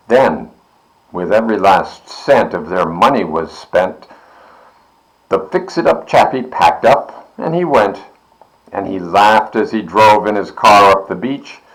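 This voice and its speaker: Animated, unhurried man reading a storybook. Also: lowish voice, rough recording. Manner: dramatic, rhythmic verse delivery. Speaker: library board member reading aloud. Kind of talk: expressive children's storybook reading.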